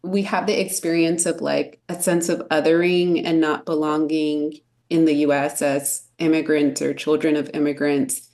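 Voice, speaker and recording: A woman's voice: low-pitched, flat delivery, moderate pace, a little mellow. A woman in her mid-thirties, an educator and podcast guest, speaking in an earnest, reflective way. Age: 30s. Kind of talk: casual interview chat.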